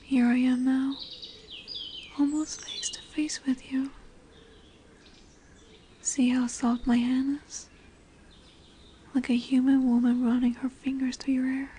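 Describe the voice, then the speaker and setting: A woman plays a large lamia in a fantasy audio drama, with a high, mellow voice. She is a seductive monster who coaxes and commands with formal, hypnotic poise. Faint woodland birdsong sits behind her. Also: level tone. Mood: soothing, hypnotic. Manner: slow, hypnotic, coaxing, formal. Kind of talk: roleplay audio drama with soft whispered passages. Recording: noisy woodland ambience with birdsong. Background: birdsong and forest ambience.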